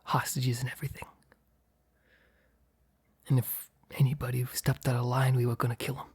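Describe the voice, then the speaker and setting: A man playing a mob boss boyfriend, blunt yet flustered, in an intimate ASMR roleplay with a breathy, halting delivery. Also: medium pitch, level tone, a little mellow. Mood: confessional, cold. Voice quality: breathy, husky, often near whisper. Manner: halting, sighing, blunt, swearing.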